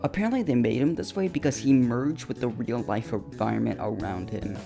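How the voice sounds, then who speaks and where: A man's voice: medium pitch, brisk, vocal fry. A man delivering casual, scripted comedic commentary for a video essay, with a flippant, slangy, jokey manner. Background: upbeat video game music.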